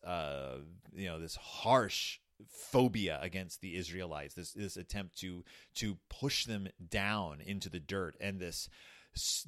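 A man casually retelling a Bible story in an animated, irreverent and halting way. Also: low-pitched, no room sound, clean studio sound. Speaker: commentator.

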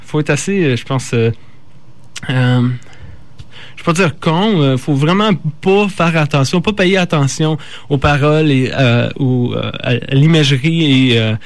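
A man with a Canadian French accent hosting a radio show, talking informally and haltingly as he stumbles over words and corrects himself. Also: a little high, dry recording. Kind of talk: informal live radio talk.

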